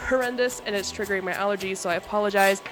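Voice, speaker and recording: A woman's voice: medium pitch, rapid-fire, faint music behind. A woman hosting a cooking video in a casual, chatty way. She sounds congested from allergies. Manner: chatty, relaxed, talks while cooking. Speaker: cooking channel host. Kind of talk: casual cooking vlog narration.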